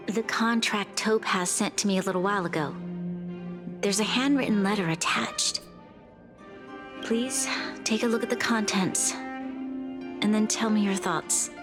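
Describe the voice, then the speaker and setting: A woman's voice: mid-range voice, a little slow, pauses often, close to an everyday voice. A woman playing a weary, dutiful young leader in a video game, speaking formally and with concern. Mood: earnest. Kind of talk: scripted game dialogue. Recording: background music under the voice.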